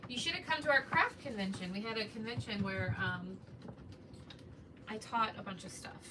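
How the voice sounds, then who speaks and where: A woman in her thirties with a soft, relaxing Midwestern voice, chatting casually with viewers during a crafting livestream. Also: mid-range voice, normal speed. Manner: chatty, greets viewers while working. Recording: distant mic with background noise.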